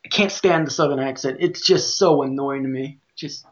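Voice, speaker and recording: A man's voice: mid-range voice, moderate pace, lo-fi sound. A man with a Midwestern American accent talking casually as host of a podcast discussion. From Midwest United States.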